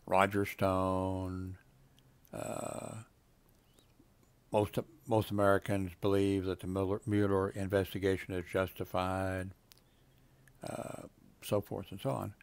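A gravelly-voiced man in his seventies talks through what he finds on his computer screen, drifting from point to point with plenty of "uh" and "you know". His voice sits fairly low, and the recording is close and dry, with no room sound. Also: monotone, slow, pauses often, darkish tone, dry recording. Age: 70s. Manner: meandering, many fillers and trailing asides. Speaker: video blogger. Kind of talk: rambling casual commentary while reading web pages. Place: home desk with computer.

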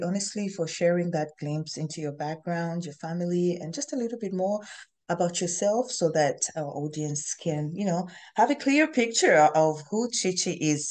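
A woman's voice: fairly low pitch, darkish tone. A woman hosting an interview show, giving an upbeat, polished introduction over a video call. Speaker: host. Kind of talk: scripted talk-show introduction.

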